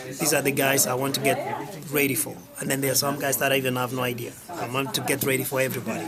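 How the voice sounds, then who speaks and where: Earnest man amid a loud crowd. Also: medium pitch. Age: 30s. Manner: earnest, rambling with many "you know" fillers. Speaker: interviewed athlete. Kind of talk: casual interview. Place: outdoor event before a race. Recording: loud crowd noise behind.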